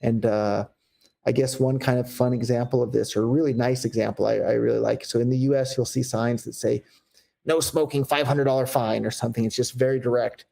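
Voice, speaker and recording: Gravelly American man in a relaxed interview. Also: medium pitch, brisk. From United States. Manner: candid, rambling, with fillers and restarts. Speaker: guest. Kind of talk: casual interview talk.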